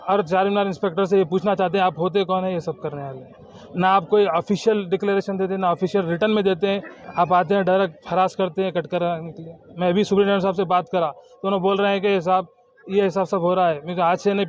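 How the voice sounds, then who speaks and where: A man's voice: high voice, steady tone, quick pace. A man making an indignant, insistent protest statement, repeating his phrases, recorded outdoors in a city. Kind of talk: spoken protest statement to camera. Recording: clearly noisy outdoor urban recording.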